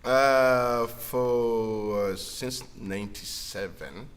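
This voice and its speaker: A singer-songwriter man from Rwanda with a Rwandan accent and a deep voice, talking casually in a halting way and laughing often. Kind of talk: casual livestream conversation. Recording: faint background noise.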